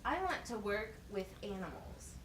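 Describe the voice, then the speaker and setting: A woman's voice: mid-range voice, sing-song, a little slow, fairly bright tone. A woman voicing an earnest schoolgirl in a puppet show, answering in short, simple, hesitant phrases. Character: schoolgirl puppet named Rose, earnest and brief. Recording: roomy, distant mic, faint noise.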